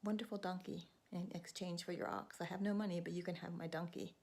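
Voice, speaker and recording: A woman voicing a small, sly talking hoopoe bird that chirps its song in a high voice. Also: steady tone, fairly quick, darkish tone. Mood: pleading. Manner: high chirping birdsong calls.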